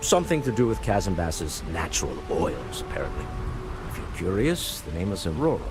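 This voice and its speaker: A low-voiced, worldly businessman companion in a video game, musing aloud with dry irony and a lively, rolling delivery. Game music plays underneath. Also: a little fast. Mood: wry musing. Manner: musing, dryly ironic.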